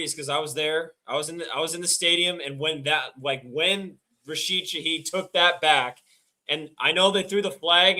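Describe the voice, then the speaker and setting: A man hosting a casual podcast, his voice raspy and hoarse, talking in quick, excitable bursts. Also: fairly high pitch. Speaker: podcast host.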